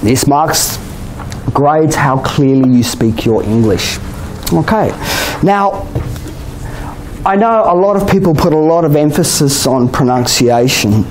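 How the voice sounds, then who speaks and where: A man with an Australian accent giving a relaxed exam-prep lecture to a class, often checking in with "okay?" and "you know?". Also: a little high, a little slow, slight background noise, low-quality recording. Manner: chatty, many "okay?" and "you know?" tags, laughs often. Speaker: IELTS teacher.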